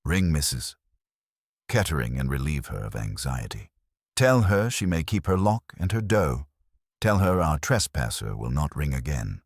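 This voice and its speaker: Audiobook role: methodical, lordly male sleuth voiced by a man, extremely low pitch, dry close sound, pronouncing verdicts formally. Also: very animated, no room sound, exaggerated voice. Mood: commanding, stern. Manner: formal, pompous, measured phrasing.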